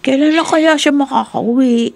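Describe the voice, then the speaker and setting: A woman's voice: high-pitched, moderate pace, creaky, darkish tone, dry recording. A woman with a Filipino accent playing a caring young schoolgirl who comforts a friend in a radio drama. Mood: comforting, concerned.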